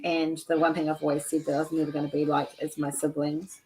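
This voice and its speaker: Chatty woman, low voice. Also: level tone, quick pace, mellow, soft background music, poor audio. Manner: chatty, storytelling, frequent "like" and restarts. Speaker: livestream host. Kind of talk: casual chat.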